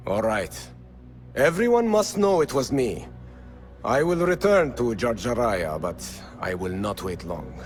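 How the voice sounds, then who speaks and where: A man's voice: mid-range voice, sing-song, a little slow. An actor playing a vengeful male rebel leader who talks fiercely and commands. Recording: game audio with music.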